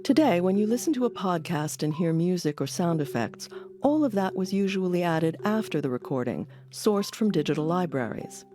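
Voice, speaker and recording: A woman's voice: on the low side, expressive, moderate pace, over music. A woman hosting an audio documentary, delivering scripted narration. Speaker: documentary host.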